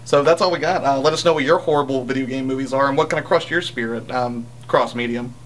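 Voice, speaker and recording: A man's voice: medium pitch, fast, noisy background. A young man co-hosting a casual podcast, giving wry, hyperbolic opinions on what he reviews. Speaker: podcast co-host. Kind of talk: casual chat, opinionated film and game review.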